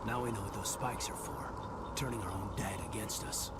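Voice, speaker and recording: A man's voice: fairly low pitch, steady tone, normal speed, very noisy, close to an everyday voice. A man playing an earnest, vigilant military officer in a video game, with a grave way of speaking. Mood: grim.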